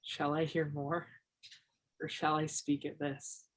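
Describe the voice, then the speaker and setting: A man's voice: on the high side, flat delivery, takes it slowly, a bit bright. An actor in a one-act stage play playing an earnest, shy young Alaskan backwoodsman, speaking a little hesitantly. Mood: tender, reciting.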